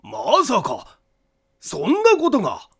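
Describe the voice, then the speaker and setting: A man's voice: extremely high pitch, wildly swooping pitch, slow, fairly bright tone, breathy edge, pitched above the performer's own voice, heavily acted. A man voicing a doubtful, startled police inspector who questions probingly in a mystery audiobook. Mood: astonished, doubtful. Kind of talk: Japanese mystery audiobook acting.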